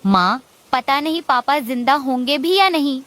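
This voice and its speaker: A woman's voice: sits high, animated, unhurried, a bit bright. A woman voicing a childlike, pleading little daughter bird in a cartoon. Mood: worried. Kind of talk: Hindi cartoon voice acting.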